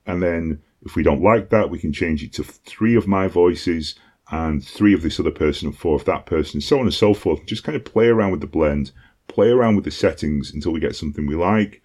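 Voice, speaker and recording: A British man with a deep voice giving a casual tutorial, talking conversationally with frequent ums and "okay?" check-ins. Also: fairly quick, a little mellow, breathy edge. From Britain. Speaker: online course instructor.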